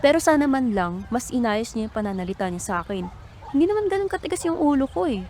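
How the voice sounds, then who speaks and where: A woman's voice: on the high side, sing-song, quick pace, darkish tone, slight background noise. A woman with a Filipino accent playing a hurt, stubborn younger sister in a radio drama. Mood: indignant, hurt.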